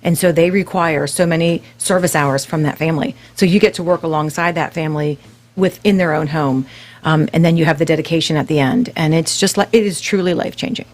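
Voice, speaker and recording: Low-voiced woman guest, calm. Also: brisk. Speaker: guest from real estate nonprofit. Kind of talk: radio interview guest.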